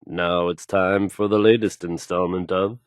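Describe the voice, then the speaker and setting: An actor playing a smooth, self-assured male radio DJ and announcer in a video game. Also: deep voice, steady tone, a little slow, no room sound, clean studio sound. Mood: showy, dramatic.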